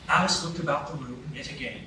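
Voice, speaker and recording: A man narrating a storybook radio play in a measured, storytelling way. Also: on the high side, pushed and bright, slight background noise. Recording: roomy live stage, distant mics.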